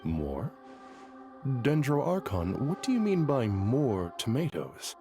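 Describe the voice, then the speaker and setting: A man's voice: medium pitch, huge pitch swings, slow pace, music in the background, exaggerated voice. A man playing an earnest, polite scholarly aide in a video game, speaking formally and deferentially. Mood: puzzled.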